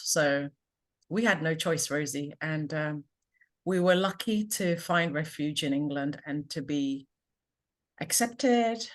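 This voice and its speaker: A middle-aged woman from Ghana, a confidence coach, telling her story earnestly and reflectively in an interview over a remote call. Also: sits low.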